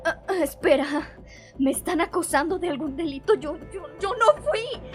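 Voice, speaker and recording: A woman's voice: very high voice, animated, normal speed, faint music behind. A woman playing a panicked, pleading wife in an anime-style story, whimpering and stammering as she protests her innocence.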